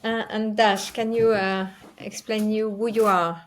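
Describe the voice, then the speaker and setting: A woman's voice: mid-range voice, flat delivery, unhurried, slight background noise. Female host, native French speaker with French accent, hesitant live-presenter delivery, mid-range voice. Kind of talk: presenting a live online talk show.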